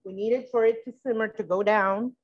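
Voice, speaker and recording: A woman with a fairly high voice at a kitchen counter in a live-streamed cooking class, talking her way through each step, the sound a bit dull. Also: fairly even intonation, fairly quick. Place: indoor kitchen with steel fridge and counters.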